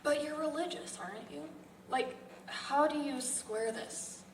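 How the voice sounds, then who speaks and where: A woman's voice: sits high, a little slow. In a noisy, echoing room, a woman reads a guarded, skeptical job applicant at a table read. She is blunt and questioning, and sounds a little way from the mic. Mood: challenging. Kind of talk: live script table read. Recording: echoey room from far off, noisy.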